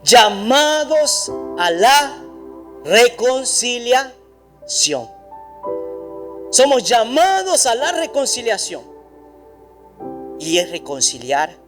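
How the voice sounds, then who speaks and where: A man's voice: very high voice, big pitch swings, extremely slow, pauses often, fairly bright tone, faint music behind. A pastor preaching a sermon in a church, emphatic and repetitive, speaking straight to his congregation. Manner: addresses the congregation directly.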